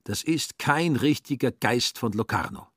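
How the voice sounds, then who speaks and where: Man acting a husky, cynical young German swindler. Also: medium pitch, lively intonation, measured pace, fairly bright tone, no room sound, studio quality, pitched above the performer's own voice. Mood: indignant musing. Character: self-serving young German swindler musing on politics.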